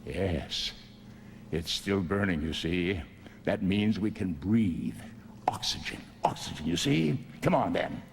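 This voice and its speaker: An actor playing an eccentric, excitable inventor in a radio drama, optimistic and absent-minded, stammering and repeating words. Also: male voice, sits low, slow pace, gravelly, slight background noise, heavily acted. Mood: eager, bustling. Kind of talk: old-time radio drama acting. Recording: echoey radio drama production.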